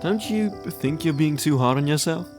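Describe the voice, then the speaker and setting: A man playing a charming, theatrical young demon bard with a smooth, showy manner in a fantasy audio drama. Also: fairly high pitch, animated, moderate pace, over music, close to an everyday voice. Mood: gentle.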